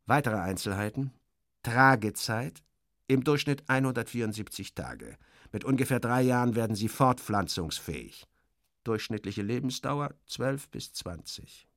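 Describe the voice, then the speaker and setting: A man's voice: mid-range voice, animated, measured pace, a bit bright, no echo, studio quality. A man playing a fussy, obsequious station director, an officious bureaucrat who hesitates and corrects himself, in a satirical audio reading. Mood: pedantic explaining.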